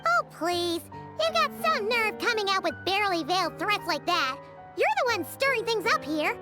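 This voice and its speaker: A woman voicing a tiny, childlike, cheeky and excitable female fairy companion in a video game, in a squeaky voice. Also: normal speed. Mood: indignant. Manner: squeaky, speaks of herself in third person. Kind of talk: cartoonish scripted game dialogue. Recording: music behind the voice.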